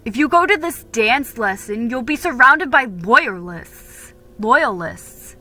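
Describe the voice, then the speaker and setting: Woman acting a forceful, hot-headed young man. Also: fairly high pitch, lively intonation, takes it slowly, slight background noise, full character voice. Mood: insistent. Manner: forceful, insistent, accusing. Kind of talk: dramatic storybook reading.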